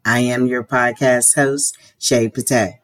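A woman from the United States hosting her own podcast, a little hoarse from a sore throat, talking earnestly and with strong opinions. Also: a bass voice, steady tone, takes it slowly. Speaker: podcast host. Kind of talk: casual solo podcast talk.

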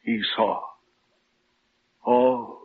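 An actor playing an ailing, grave doctor who speaks deliberately and solemnly in an old radio drama. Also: male voice. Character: ailing, grave male doctor, measured and evasive. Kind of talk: 1940s-style radio drama acting. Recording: muffled, noisy old radio recording.

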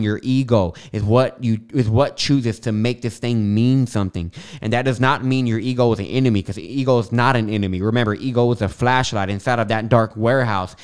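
A man's voice: medium pitch, a little mellow, clear, resonant tone. A young man with a lisp, hosting a podcast and talking casually in a rambling monologue full of fillers and tangents. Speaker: podcast host. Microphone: studio microphone.

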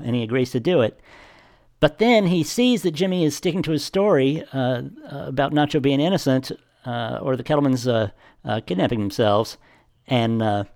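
A man co-hosting a podcast, casually retelling a story step by step in a halting way. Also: medium pitch, no echo, studio quality. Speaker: podcast co-host. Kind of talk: casual plot recap.

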